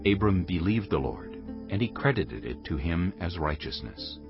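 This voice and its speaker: Very deep, gravelly male narrator. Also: sing-song, moderate pace. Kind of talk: scripted dramatized scripture narration. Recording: dull, band-limited stream with music. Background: clear music.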